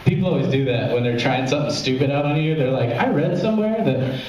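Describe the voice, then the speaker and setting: A man's voice: medium pitch, animated, fast talker, a little mellow, highs cut off, a little hiss or hum. Rambling stand-up man in an echoey club. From Memphis, Tennessee, US. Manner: rambling, self-interrupting, laughs at own jokes. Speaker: stand-up comedian. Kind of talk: open mic stand-up. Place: small club, live audience. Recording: very echoey room, from far off.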